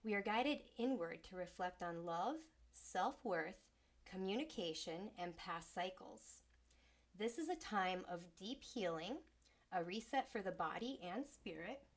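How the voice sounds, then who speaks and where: Female host, soothing and unhurried, mid-range voice, faintly stuffy from a cold. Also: medium pitch, lively intonation. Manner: soft, soothing, unhurried delivery. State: slightly congested. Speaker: host and sound healer. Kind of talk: livestreamed spiritual talk. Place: small home studio.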